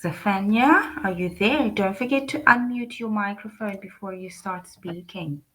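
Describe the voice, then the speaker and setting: A woman's voice: mid-range voice, measured pace, a bit bright, a little hiss or hum, low-quality recording. A woman teaching an online English class over a video call, encouraging and giving detailed feedback.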